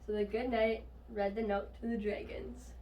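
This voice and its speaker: A woman's voice: medium pitch, little pitch movement, normal speed, dark tone. A woman reading a children's storybook aloud. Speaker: storybook reader. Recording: distant mic, faint noise.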